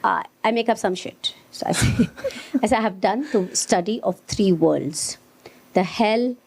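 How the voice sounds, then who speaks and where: A woman's voice: medium pitch, unhurried, creaky, a little mellow, husky. A woman in her fifties chatting casually as an interview guest, telling her own story with wry, jokey touches. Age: 50s. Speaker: interview guest, comedian and ventriloquist.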